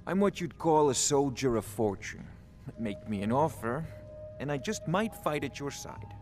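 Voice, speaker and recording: A man playing a confident soldier of fortune in a fantasy game. His voice is medium in pitch, with quiet music underneath. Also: big pitch swings, normal speed, close to an everyday voice. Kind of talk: fantasy video game dialogue.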